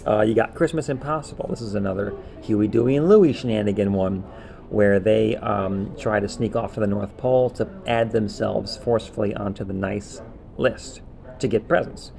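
A man's voice: fairly low pitch, moderate pace, vocal fry, mellow, slight background noise. A man co-hosting a podcast, chatting casually and recapping in a rambling, offhand way. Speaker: podcast co-host.

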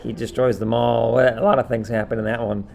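A man's voice: medium pitch, flat delivery, brisk, vocal fry, a little mellow, slight background noise. A man co-hosting a podcast, chatting casually and recapping in a rambling, offhand way. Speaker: podcast co-host.

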